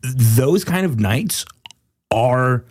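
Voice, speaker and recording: A smooth-voiced man hosting a podcast in a casual, joking, profane chat style. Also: mid-range voice, animated, very slow, a little mellow. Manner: profane, bantering. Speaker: podcast host.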